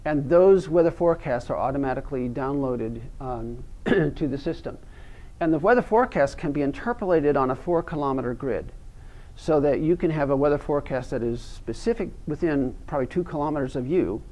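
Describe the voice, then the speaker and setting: A male professor giving an academic seminar lecture, explaining in a halting way. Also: a little high, mellow. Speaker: university professor of plant pathology. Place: seminar room, speaking to an audience. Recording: dull, band-limited lecture recording.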